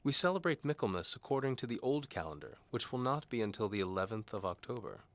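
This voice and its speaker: Male actor as patronizing bishop, raised pitch, bright forceful tone, formal, condescending, menacing, audio drama villain. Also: expressive, fast talker. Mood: stern. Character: pompous, menacing bishop interrogating a prisoner, patronizing. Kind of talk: audio drama character acting.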